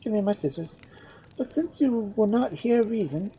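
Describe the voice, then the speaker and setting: A woman voicing a composed young noblewoman who speaks calmly and mildly scolds, in a storybook reading. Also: mid-range voice, moderate pace, husky, pitched above the performer's own voice, low-quality recording. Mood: cool.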